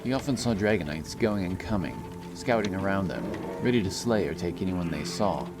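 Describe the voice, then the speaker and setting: Male narrator for fantasy audiobook: deep register, solemn and measured, reading over prominent, loud music. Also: expressive.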